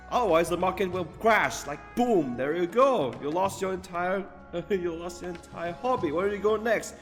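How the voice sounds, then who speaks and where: A man giving casual, rambling live commentary on a video game, blunt and sometimes profane, with halting delivery. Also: high-pitched, a bit bright. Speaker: game streamer. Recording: reverberant room, game music behind. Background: game music.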